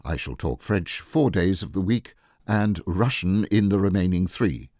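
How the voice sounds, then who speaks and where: A man reading the part of an imperturbable, free-spirited Edwardian lady governess in a storybook, lofty, decisive and deadpan. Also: deep voice, a little slow, no echo, studio quality. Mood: coolly defiant.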